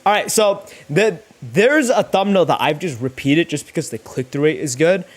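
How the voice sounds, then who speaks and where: Youngish guy thinking out loud on stream, kinda high voice, super up and down pitch, sweary and rambly. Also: expressive, normal speed. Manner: profane, slangy, rambling. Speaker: YouTuber livestreaming thumbnail making. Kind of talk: casual chat, thinking aloud while working.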